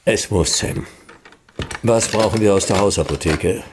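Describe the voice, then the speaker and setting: An actor playing a dry, world-weary, laconic private detective in a noir radio play. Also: male voice, on the low side, measured pace, background noise, lightly acted. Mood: brisk, dry. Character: hard-boiled male private detective narrating his case, dry, world-weary.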